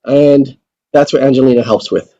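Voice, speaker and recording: A man's voice: mid-range voice, fairly even intonation, normal speed, warm tone, dull audio. A man hosting a table read over a video call, talking casually and hesitantly with many fillers. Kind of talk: casual chat.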